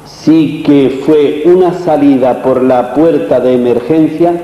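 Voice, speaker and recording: A male interviewer from Spain speaks slowly and deliberately in formal, rhetorical phrases. His voice rings heavily around a reverberant hall, with a dull, muffled sound. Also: a little high. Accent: Spanish from Spain. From Cañada, Spain. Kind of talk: prepared speech and formal interview questions. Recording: muffled, very echoey hall with noise.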